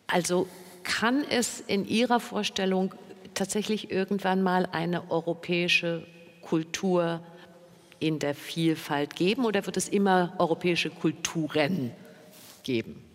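A woman moderating a panel discussion, asking measured, probing questions. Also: fairly low pitch, fairly even intonation, long reverb tail.

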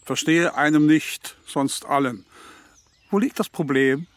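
A man's voice: fairly high pitch, a little slow, dry recording, natural voice. A man playing Good Advice come to life, a pompous, touchy, ponderous figure in a children's radio play. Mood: self-important. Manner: ponderous, pedantic, riddling.

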